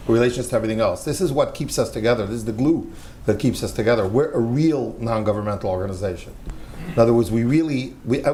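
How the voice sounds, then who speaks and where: A man's voice: medium pitch, a little mellow, slight background noise. An older American man from the United States, speaking earnestly on a panel discussion as a religious community leader, repeating key phrases for emphasis.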